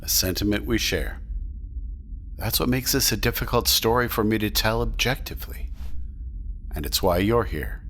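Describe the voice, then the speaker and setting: An actor playing a calm, guarded and courteous storyteller, speaking in measured audio drama dialogue. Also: male voice, on the low side, a little hiss or hum.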